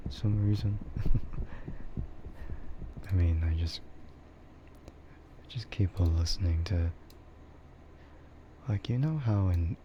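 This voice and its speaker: Low, slow, mellow male streamer. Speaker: VTuber streamer. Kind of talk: casual rambling stream chat, ASMR. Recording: dull lo-fi microphone with faint noise.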